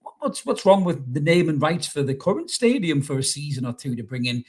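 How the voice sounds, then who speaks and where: Fast-talking, husky man chatting about football. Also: fairly high pitch, sing-song, darkish tone. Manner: chatty, rambling, rhetorical questions, blunt swearing. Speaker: YouTube football channel host. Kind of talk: casual opinionated fan commentary to camera.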